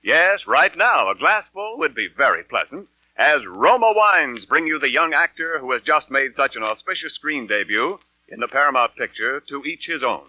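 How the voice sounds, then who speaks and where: A man's voice: a little high, big pitch swings, normal speed, few pauses, dry recording. A man delivering a polished, emphatic 1940s radio sponsor announcement. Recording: muffled, dry vintage radio broadcast.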